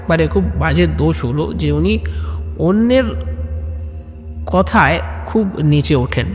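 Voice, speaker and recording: A man narrating a comic audio story informally, chatting and digressing straight to his listeners. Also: fairly high pitch. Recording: long hall reverb, faint background noise.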